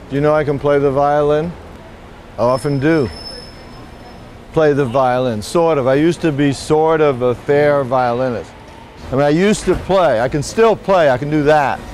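A man playing a rambling, melancholy middle-aged poet in a theatrical monologue, halting and musing, repeating phrases to himself. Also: mid-range voice, darkish tone, a little hiss or hum, lightly acted. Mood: wistful, insistent. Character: rambling, melancholy washed-up male poet, wistful and self-doubting. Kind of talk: theatrical monologue with recited verse.

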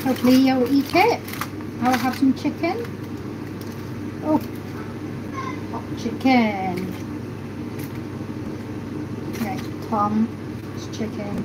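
High-voiced woman chatting while cooking. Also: takes it slowly, long pauses, very noisy. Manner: chatty, thinks aloud while carving and plating. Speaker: livestream host. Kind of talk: casual live cooking stream. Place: small home kitchen, indoors. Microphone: phone camera close up.

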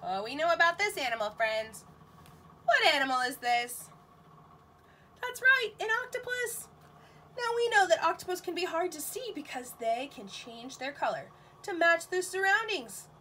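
A woman teaching nursery school, reading a picture book aloud to young children in an expressive, questioning manner, with exclamations. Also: very high voice, normal speed, a little hiss or hum.

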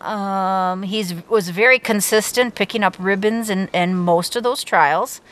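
A middle-aged woman speaks in a loose, plainspoken way, filling pauses with uhs as she explains. She is recorded outdoors in winter woods on a camera mic. Her pitch sits in an ordinary middle range. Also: fairly bright tone. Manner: chatty, plainspoken, frequent uhs. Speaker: beagle owner. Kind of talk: casual outdoor interview.

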